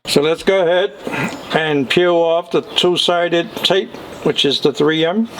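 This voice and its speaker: Man, casual product demonstrator, instructional, unhurried, echoey room, faint background noise. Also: fairly high pitch. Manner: instructional, step-by-step.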